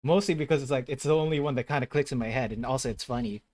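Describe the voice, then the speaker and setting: A man's voice: medium pitch, very fast, a little mellow, no room sound. Rambling man hosting a gaming stream. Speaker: host of a gaming channel. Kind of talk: casual chat on a stream.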